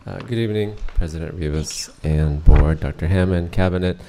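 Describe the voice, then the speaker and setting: A middle-aged man with a monotone delivery giving a formal presentation to a school board, with frequent hesitations. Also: low-pitched, normal speed, a little hiss or hum. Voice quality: monotone, many uh fillers.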